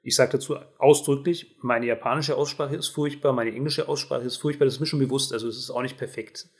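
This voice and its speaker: A man's voice: mid-range voice, fairly even intonation, quick pace. A man, hosting a podcast and explaining things in a casual, conversational way. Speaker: podcast host.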